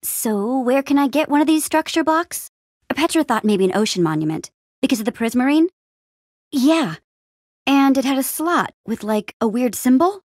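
Upbeat young game hero girl, plucky n wry, super bouncy intonation, kinda high. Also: animated, normal speed, darkish tone, dry recording, clean studio sound. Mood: curious. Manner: eager, wry, casual youthful phrasing. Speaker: video game voice actor. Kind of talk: animated adventure game dialogue.

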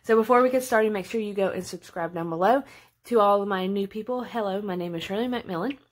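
A woman's voice: medium pitch, fairly quick. Female vlogger, late 20s, mid-range voice, casual and chatty, thinks aloud while walking viewers through a task. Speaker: budgeting vlogger. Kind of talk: casual narrated budget walkthrough.

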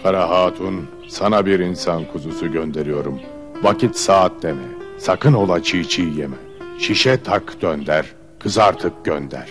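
A man playing a sly man-eating giant father who feigns friendliness in an old cartoon, with theatrical, drawn-out folksy delivery. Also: low voice, music in the background. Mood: scheming. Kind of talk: old cartoon fairy-tale dubbing.

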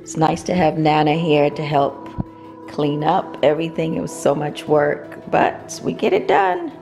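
Low-voiced, unhurried woman chatting at home over music. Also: animated, creaky. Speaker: family vlogger, host. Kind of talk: casual home vlog chat. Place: home dining room and kitchen.

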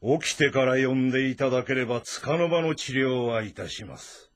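A male actor playing a blunt, calm physician of ancient China, speaking formally and deferentially in an audio drama. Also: medium pitch, lightly acted. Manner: measured, deferential, matter-of-fact. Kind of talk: audio drama dialogue in formal, old-fashioned speech. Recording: voice over background music.

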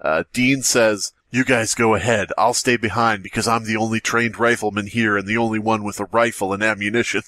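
Low-voiced sarcastic man, close and dry. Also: fairly even intonation, brisk, few pauses, sharp tone, no echo. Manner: sarcastic, swearing, joking. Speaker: podcast host. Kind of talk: comedic read-aloud and banter.

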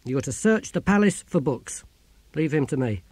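Storybook sergeant, man performing: commanding, brisk, challenging, dry close sound. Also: fairly high pitch, expressive, a little mellow, breathy edge, dry recording, pitched above the performer's own voice. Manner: curt, authoritative, challenging. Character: brisk, commanding male army sergeant, secretly rebellious.

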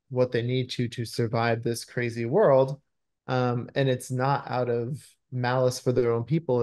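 Creaky-voiced man explaining earnestly. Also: medium pitch, dark tone. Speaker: screenwriter being interviewed. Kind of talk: conversational interview answers. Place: indoor room at home, video call. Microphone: video call microphone.

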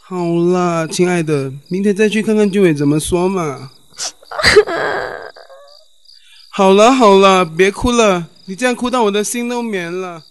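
A man's voice: sits high, a little slow, pauses often, smooth, no echo. A man playing a camp, sassy best friend, dry close sound. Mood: consoling. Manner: effeminate, theatrical. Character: camp, sassy young male best friend, fiercely loyal. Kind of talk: radio drama acting.

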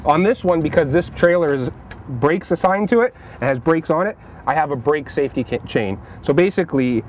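A man's voice: a little high, lively intonation, brisk. A man with a Canadian accent giving practical, plain-spoken how-to tips as a pro angler, emphasizing key points in an instructional way. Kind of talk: practical how-to demonstration talk. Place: outdoors beside a boat and trailer. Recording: outdoor vehicle and ambient noise.

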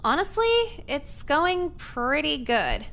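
A cynical teenage high school girl with a high, bright voice. She is blunt and dismissive, with a foul mouth, in visual novel game style. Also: sing-song, takes it slowly, creaky, full character voice. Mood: smug, cynical.

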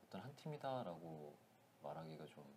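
A man in his thirties, a guest in a casual interview, talking softly and thoughtfully with frequent laughs, on a lapel mic in a small indoor room. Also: a bass voice, big pitch swings, slight background noise. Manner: soft-spoken, laughs often, thoughtful pauses. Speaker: actor guest.

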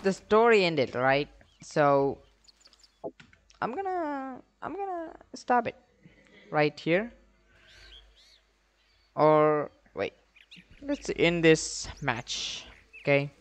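Young male gamer, higher-pitched voice. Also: very slow, pauses often. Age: young adult. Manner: profane, excitable, slangy. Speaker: gamer, YouTube host. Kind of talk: casual gameplay commentary. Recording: clear game gunfire noise behind voice. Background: shooter game gunfire and clicks.

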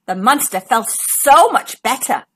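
High, bright, animated woman storyteller. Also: measured pace, husky. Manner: expressive, dramatic emphasis. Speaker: children's storybook narrator and channel host. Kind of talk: scripted read-aloud for young children.

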